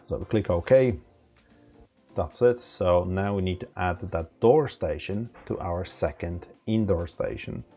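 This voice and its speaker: Deep, gravelly man explaining step by step. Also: unhurried, mellow, faint music behind. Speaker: technical instructor. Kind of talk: unscripted step-by-step software tutorial.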